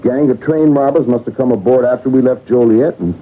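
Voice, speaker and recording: An actor playing an earnest, plain-spoken railway brakeman, excitable at times, in old-time radio drama style. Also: medium pitch, a little fast, slight background noise, natural voice. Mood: anxious, earnest. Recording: tinny old radio recording.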